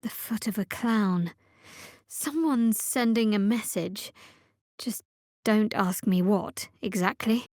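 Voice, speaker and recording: A woman playing a guarded, sardonic cleric of a dark goddess in a video game, with a dry, wry delivery. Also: medium pitch, slow pace, dry recording. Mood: wary, observant. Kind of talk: video game character voice lines.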